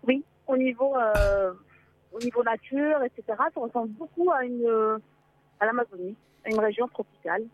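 A woman's voice: on the high side, moderate pace. A woman from Morocco, calling a radio show by phone from inside a parked car and talking hesitantly with many fillers. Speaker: caller, travel blogger. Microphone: mobile phone. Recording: tinny phone line.

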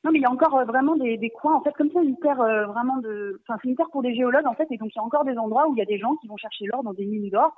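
A woman guest on a radio show, talking over the phone and often pausing on fillers and restarting. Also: fairly high pitch, dry recording. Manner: hesitant, many fillers and restarts. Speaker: magazine writer and guest. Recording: tinny phone line.

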